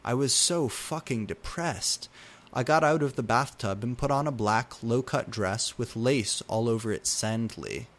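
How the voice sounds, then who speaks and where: A man's voice: mid-range voice, a little slow, fairly bright tone. A man reading fan fiction aloud in a theatrical, mock-serious way, taking punctuation and typos literally. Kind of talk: dramatic comedic read-aloud of fan fiction.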